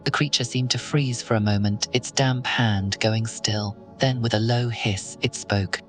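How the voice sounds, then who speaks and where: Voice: medium pitch, fairly even intonation, measured pace, a bit bright, faint music behind. Ominous second-person horror narrator.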